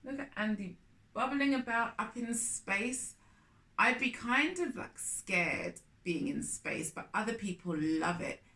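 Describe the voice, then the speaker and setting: A woman storytime host with a bright voice and lively, swooping intonation. She reads a picture book aloud to children at a slow pace, dropping in chatty asides. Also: a little high.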